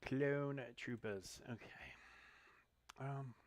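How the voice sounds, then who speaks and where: A man streaming a mobile game, thinking aloud in a relaxed, muttering way as he clicks through menus. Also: mid-range voice, very slow. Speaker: gaming streamer. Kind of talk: casual live-stream commentary while playing.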